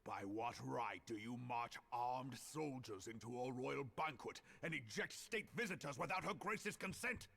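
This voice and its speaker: Gruff general, gravelly bright forceful voice, giving orders in a game cutscene. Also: male voice, medium pitch, normal speed, few pauses. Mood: rebuking. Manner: commanding, rebuking. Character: commanding male general, angry and forceful. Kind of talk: video game voice acting.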